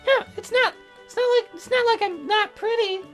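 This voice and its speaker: Man putting on a high-pitched, bitter teenage girl. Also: normal speed, faint music behind. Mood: flustered. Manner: stammering when flustered, sharp and blunt. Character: frail fourteen-year-old girl patient, bitter and insecure.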